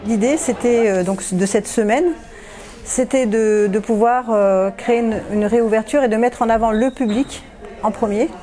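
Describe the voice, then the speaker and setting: A woman giving unscripted interview answers as a theatre official, explaining in a halting, hesitant way. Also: a little high, steady tone, dark tone.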